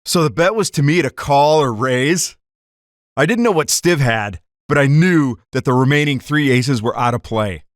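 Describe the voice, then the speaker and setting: Man acting a hot-headed gangster, animated delivery. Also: medium pitch, moderate pace, no echo, clean studio sound, lightly acted. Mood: narrating, bitter. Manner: animated slangy storytelling. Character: hot-headed card-cheating gangster recounting a grudge. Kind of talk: 1940s gangster radio drama acting.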